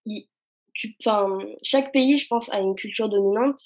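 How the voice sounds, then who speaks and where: A woman's voice: medium pitch, moderate pace. A young woman speaking in a casual conversation, hesitant and searching for words with many fillers.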